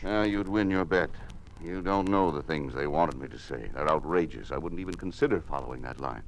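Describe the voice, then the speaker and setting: Over faint noise from an old radio recording comes a defiant American governor. His voice is low, proud and sardonic. He argues in the forceful, theatrical style of classic radio drama. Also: fairly quick, poor audio. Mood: sardonic, weary. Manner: indignant, sardonic, forceful rhetorical questioning.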